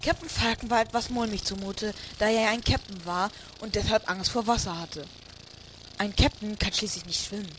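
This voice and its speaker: Male storyteller for comic audio drama, lighter high register, scripted first-person narration, clear background noise. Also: fairly even intonation, normal speed, a bit bright. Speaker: narrator and lead character. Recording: clearly noisy homemade recording.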